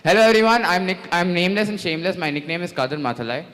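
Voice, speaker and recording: A man's voice: sits high, a bit bright, long reverb tail. A young man from Bangalore, a fresher volunteering on stage, nervously repeating his intro. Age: young adult. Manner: nervous, repeating lines, halting. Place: stage in a large hall.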